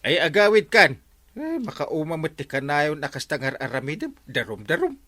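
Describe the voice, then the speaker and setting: Flustered, swooping comic village captain. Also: male voice, on the high side, very animated, normal speed, pushed and bright. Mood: evasive, irritable. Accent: Ilocano. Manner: stammering, full of hesitant interjections. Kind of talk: Ilocano radio comedy drama acting.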